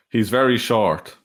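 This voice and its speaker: A man co-hosting a podcast who reads out questions and chats in a dry, teasing way. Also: medium pitch. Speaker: co-host reading questions. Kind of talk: casual chat.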